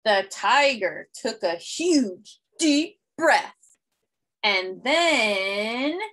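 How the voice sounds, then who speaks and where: A woman in her thirties reading a children's picture book aloud expressively, with deliberate, simple phrasing and emphasis. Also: fairly high pitch, animated, fairly bright tone, rough recording. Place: small indoor room.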